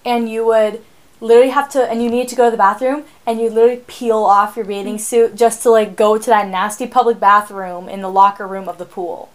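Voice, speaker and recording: A teenage girl co-hosting a podcast, chatting casually in an animated, rambling way, often laughing. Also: on the high side, brisk. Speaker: podcast co-host. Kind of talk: casual chat.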